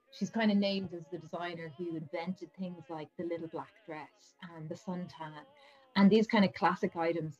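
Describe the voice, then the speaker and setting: A slightly muffled recording with faint music carries a woman's fairly low voice. She explains her subject conversationally, as an expert guest in an interview. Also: a little mellow. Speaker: fashion historian, interview guest. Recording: dull, band-limited sound.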